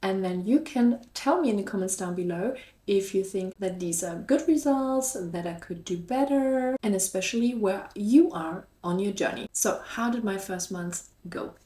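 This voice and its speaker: A woman's voice: mid-range voice, normal speed, mellow. A woman with a German accent talking casually to her viewers as a vlog host, in an upbeat, encouraging and chatty way. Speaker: YouTube host and online shop seller. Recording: echoey room.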